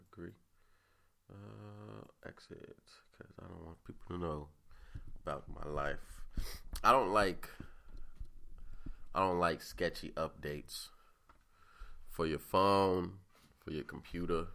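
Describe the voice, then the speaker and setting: A man's voice: low voice, takes it slowly, vocal fry, long pauses, fairly bright tone. A man in his twenties hosting a casual solo podcast, congested and sniffling, chatting loosely with rambling asides. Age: 20s. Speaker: podcast host.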